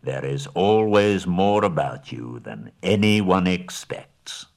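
A man playing a slow, weighty old wizard. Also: low voice, expressive, full character voice. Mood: reassuring. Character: old male wizard, wise and kindly.

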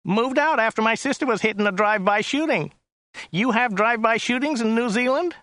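A man voicing a large, dim, chatty limo driver in an audiobook, with a high, whiny, nasal voice and an obtuse, rambling manner. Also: a little fast, sharp tone, no room sound, clean studio sound. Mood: chatty, grumbling.

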